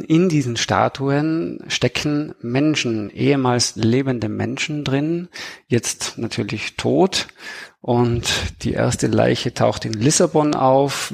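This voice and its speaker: A man speaks as a guest author in an interview. His voice sits at a middle pitch and has a creaky, gravelly edge. He talks in a conversational way. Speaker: thriller author, podcast guest.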